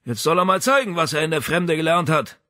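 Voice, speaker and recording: A man voicing a gruff, good-natured boatman and father, informal and hearty, in an audiobook. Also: on the high side, expressive, brisk, bright, forceful tone, pitched above the performer's own voice.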